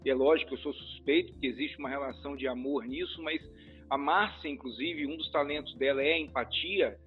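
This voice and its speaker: A man's voice: medium pitch, brisk. A man with a Brazilian Portuguese accent hosting a podcast, asking questions in a hesitant, thinking-aloud way. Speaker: podcast host. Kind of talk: podcast interview. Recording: dull lo-fi audio with faint music.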